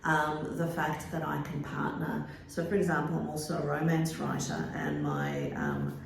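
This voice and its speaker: Aussie woman author talking it through, low gravelly voice, lots of ums, echoey room. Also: lively intonation, moderate pace. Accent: Australian. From Australia. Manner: thoughtful, many ums and restarts. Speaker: author and teacher being interviewed. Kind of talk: casual interview answers.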